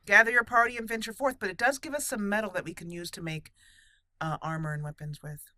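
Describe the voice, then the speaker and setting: Female let's play host, chatty and informal, forward bright tone, thinks out loud while playing. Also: mid-range voice, steady tone, fast talker, dry recording. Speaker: gaming channel host. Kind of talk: casual let's play commentary.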